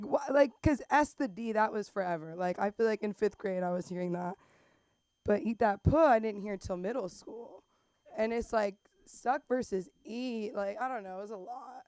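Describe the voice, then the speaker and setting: A woman's voice: fairly high pitch, a bit bright, clear, resonant tone. Chatty young woman hosting an open mic. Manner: chatty, rambling, self-conscious asides. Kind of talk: stand-up hosting. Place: comedy club stage.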